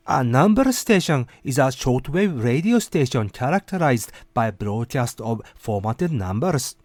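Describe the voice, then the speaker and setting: A man's voice: a little high, darkish tone, no room sound. A man hosting a casual explainer, talking conversationally and putting questions to the listener. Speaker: host of a rant and explainer series. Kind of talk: casual explainer narration with quoted passages.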